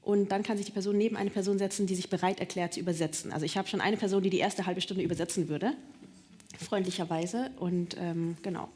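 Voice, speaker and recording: A woman with a slightly low voice hosts a live stage interview. She thinks aloud as she goes, choosing her phrasing tentatively. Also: fairly even intonation, fast, darkish tone, slight background noise. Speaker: talk host. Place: live stage event at a theatre.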